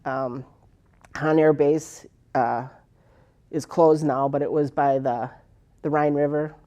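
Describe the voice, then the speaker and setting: Older woman, military veteran, warm low-key tone, rambling oral-history telling. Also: steady tone, slow pace. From United States. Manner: rambling, with many fillers and restarts. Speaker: Air Force veteran interviewee. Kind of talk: personal oral history interview.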